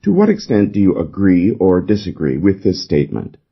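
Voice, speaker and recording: Low voiced guy narrating an essay, animated delivery, bit muffled lo-fi sound. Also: fairly quick, creaky. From Canada. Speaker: essay reader. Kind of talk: scripted narration of an exam essay. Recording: slightly dull, lo-fi recording.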